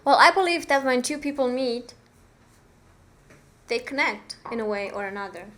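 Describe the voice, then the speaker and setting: A woman's voice: sits high, expressive, moderate pace, fairly bright tone. A young woman from Romania, a native Romanian speaker, chatting as a podcast guest in a small studio, talking thoughtfully with many fillers. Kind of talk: casual interview chat. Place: small bright studio room. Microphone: studio mic on arm.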